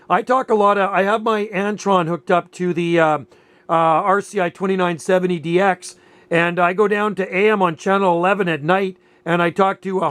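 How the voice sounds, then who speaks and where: A man's voice: high-pitched, fairly bright tone, clear, resonant tone. A man in his late fifties chatting casually as a radio operator, with folksy, easygoing phrasing. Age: late 50s. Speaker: amateur radio operator and channel host. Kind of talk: casual two-way radio chat.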